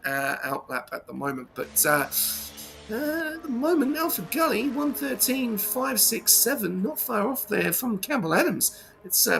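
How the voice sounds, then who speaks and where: A man from New Zealand commentating a live sim race, his voice croaky from being unwell, getting excited during on-board laps. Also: high voice, a little hiss or hum.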